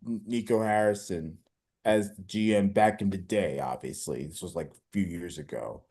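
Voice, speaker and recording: A man co-hosting a casual sports podcast, talking in a halting, easygoing way with many fillers. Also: low voice, warm tone. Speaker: podcast co-host.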